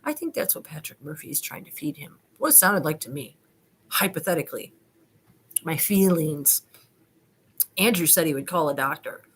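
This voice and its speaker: A woman's voice: low-pitched, lively intonation, moderate pace, long pauses, a little hiss or hum. A woman hosting a video, reading aloud and commenting in a colloquial, digressive, self-deprecating way. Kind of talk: informal dramatic reading with running commentary.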